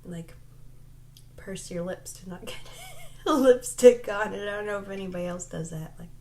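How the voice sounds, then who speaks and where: A woman's voice: medium pitch, lively intonation, normal speed, mellow. A woman hosting her crafting podcast in casual vlog chat, her voice stuffy and a little nasal from a cold, chatty and self-deprecating with lots of ums and likes. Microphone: desk microphone.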